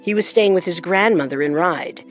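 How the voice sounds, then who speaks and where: A woman co-hosting a scripted true-crime program, speaking in a serious, deliberate way. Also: lowish voice, soft background music.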